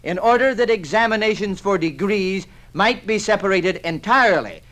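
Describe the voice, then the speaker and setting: High-voiced formal male official. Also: animated, normal speed, fairly bright tone. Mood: earnest, formal. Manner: formal, declarative. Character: earnest formal official making a recommendation. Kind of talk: 1950s radio drama acting. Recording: vintage 1950s radio recording.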